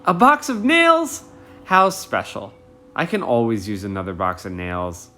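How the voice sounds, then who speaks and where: A man's voice: medium pitch, sing-song, a little slow, pushed and bright, faint music behind. A man voicing a kindly, effusive, easily delighted dad in a children's storybook. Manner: exclaiming, effusive.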